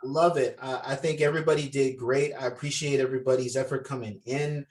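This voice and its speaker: A man's voice: medium pitch, steady tone, quick pace, darkish tone. A man talking casually and appreciatively to a group on a video call, leading the meeting. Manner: encouraging, appreciative. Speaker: film project organizer leading a table read. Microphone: video call microphone.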